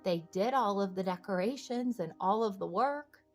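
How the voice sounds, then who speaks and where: A woman reading a children's storybook aloud in an encouraging way, pausing to ask young listeners simple questions. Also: medium pitch, soft background music. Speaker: children's book read-aloud host.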